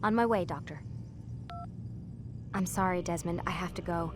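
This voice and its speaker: An actress playing a guarded, earnest young woman who explains things in a hushed, confiding way. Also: fairly low pitch, normal speed, music in the background, lightly acted. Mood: apologetic, cryptic. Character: guarded, earnest young woman working inside a secretive company.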